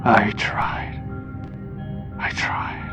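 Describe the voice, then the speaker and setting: A man voicing a weary, regretful man with a secret in a horror audio tale, breathy and pleading. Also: extremely slow, over music. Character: Liam, weary, regretful man hiding an unearthly nature. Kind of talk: dramatic horror audio storytelling.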